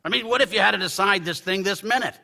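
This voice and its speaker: An actor playing a blunt, wry middle-aged newspaper editor in a radio drama. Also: male voice, high-pitched, quick pace, gravelly, sharp tone, in a large hall, full character voice.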